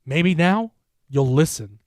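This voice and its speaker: A slow, mocking monster that threatens with relish, as a man reads it. It is loud and deliberate, each word pressed down hard. The sound is dry and close to the mic. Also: a little high, expressive, no echo, pitched above the performer's own voice. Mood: menacing. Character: menacing eternal monster, red-eyed, taunting.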